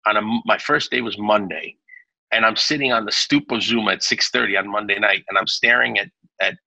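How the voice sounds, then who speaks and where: A man's voice: on the low side, brisk, creaky, pushed and bright, no room sound, rough recording. A man in his forties from Naples, Italy, a restaurant owner telling his story in a casual interview, chatty with many restarts and fillers. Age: 40s.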